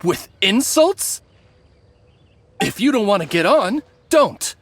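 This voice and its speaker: A man playing a smooth-talking bandit posing as a friendly merchant in a video game, ingratiating and easily indignant. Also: sits high, big pitch swings, takes it slowly, fairly bright tone, dry recording, exaggerated voice.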